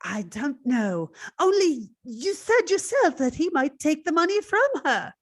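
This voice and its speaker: A woman playing a nervous, deferential paid companion in old time radio melodrama, halting and pleading. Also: sits high, very animated. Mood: evasive. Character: nervous, deferential female companion with hidden resentment.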